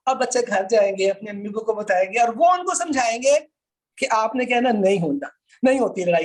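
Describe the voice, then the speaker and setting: A man with a Pakistani accent teaching as a law lecturer, explaining interactively with questions and repetition. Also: very high voice.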